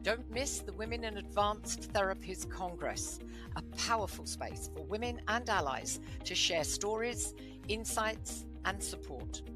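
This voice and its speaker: A woman's voice: medium pitch, lively intonation, a little slow, over music. A British woman reading a scripted sponsor spot as a podcast host. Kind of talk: scripted ad read.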